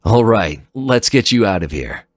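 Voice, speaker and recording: A man voicing an amnesiac android warrior who sounds bewildered, gentle and sincere, hesitant and wondering, in a dramatic fan fiction reading. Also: lowish voice, expressive, a little slow, dry recording, voice pushed up. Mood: resolute.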